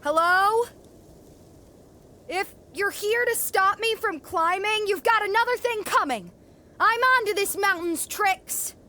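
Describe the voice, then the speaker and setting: A woman's voice: extremely high pitch, slow, pushed and bright, exaggerated voice. A woman playing a determined, kind young female mountain climber, plucky and inquisitive, in a family audio drama. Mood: defiant.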